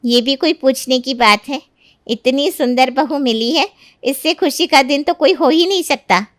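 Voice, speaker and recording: A woman's voice: high-pitched, measured pace. A woman playing an indignant, haughty middle-aged mother-in-law in a cartoon family drama. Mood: pleased, eager. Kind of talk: Hindi moral-story cartoon dubbing.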